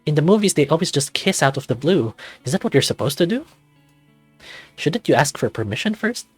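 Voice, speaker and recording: A man's voice: fairly high pitch, sing-song, brisk, slightly rough, no room sound, soft background music. A man voicing an anxious, self-doubting man in his thirties, narrating a visual novel introspectively in the first person. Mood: insecure, pleading. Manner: introspective, confessional, dramatic reading.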